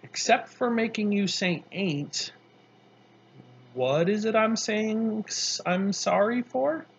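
Storybook reader as a sheepish runaway boy, halting, apologetic, husky, slightly muffled recording. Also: mid-range voice, pitched above the performer's own voice. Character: hesitant runaway boy, sheepish, weary, evasive.

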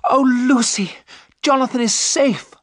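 A man's voice: on the high side, very animated, moderate pace, no room sound, studio quality, pitched above the performer's own voice. A man voicing a devoted, anxious young wife in a gothic story, earnest and pleading. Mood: excited, urgent.

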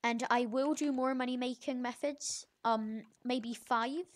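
A young video creator with an informal, halting, self-correcting way of talking, giving a casual game tutorial. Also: medium pitch, fairly even intonation. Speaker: gaming video creator, host.

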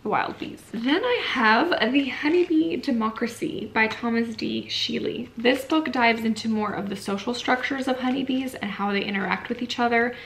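A woman's voice: fairly high pitch, normal speed, gravelly, hardly any pauses, fairly bright tone, a little hiss or hum, rough recording. A young woman chatting casually and informally to camera, like a vlogger showing things at home. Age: young adult. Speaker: book vlogger. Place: small furnished room at home, indoors.

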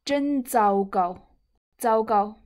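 Slow, high-pitched Chinese-accented woman teacher. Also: a little mellow. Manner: deliberate, repeats words and phrases with clear emphasis. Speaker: Mandarin language teacher. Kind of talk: online vocabulary lesson. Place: indoors, seated at a computer.

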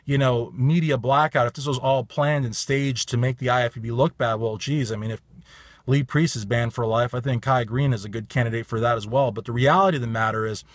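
A man in his thirties with a slightly raspy voice talks straight into a phone held at arm's length in his parked car. He is blunt and emphatic, hammering his points with the same stock phrases. The sound is dry and close, with no room echo. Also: medium pitch, steady tone, fairly quick. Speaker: bodybuilding commentator. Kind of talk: casual opinion vlog.

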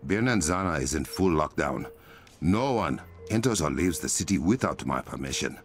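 An actor playing a stern, regal warrior king in a video game, commanding, formal and measured. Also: very deep voice, animated, faint music behind. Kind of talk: video game cutscene acting.

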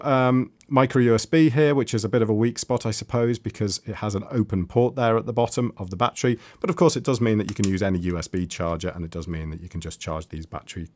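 A man's voice: on the low side, quick pace, few pauses, a little mellow. A man giving a casual, unscripted hands-on product review in a chatty, understated way. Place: indoors at a desk with audio gear.

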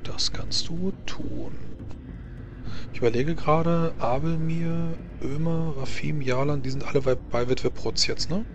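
Halting man running a tabletop game. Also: a little high, background noise. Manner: halting, hesitant, thinking aloud. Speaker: game master. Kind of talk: narrating an online tabletop role-playing game.

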